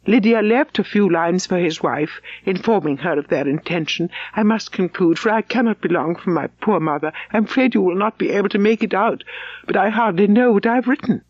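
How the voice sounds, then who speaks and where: A woman voicing an anxious, gentle young gentlewoman in a refined, sorrowful, earnest manner, as in an audiobook of a classic novel. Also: medium pitch, a little fast, no echo, lo-fi sound, close to an everyday voice. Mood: worried, apologetic.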